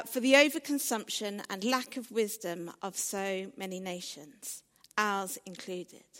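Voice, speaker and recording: A woman giving a sermon in a measured, earnest way, as a preacher addressing a church. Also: a little high, a bit bright. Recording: reverberant hall sound.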